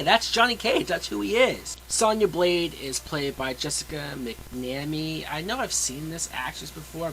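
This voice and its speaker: Bright-voiced man giving a blunt review. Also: a little high, a little hiss or hum, poor audio. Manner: emphatic, blunt, sweary. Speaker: film reviewer. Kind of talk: casual opinionated movie review.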